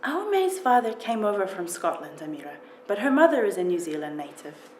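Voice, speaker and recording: A woman's voice: medium pitch, very animated, fairly quick, a little mellow, close to an everyday voice. Woman as a polite lady of the house, echoey. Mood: courteous. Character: diplomatic lady of the house, employer. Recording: roomy echo with background noise.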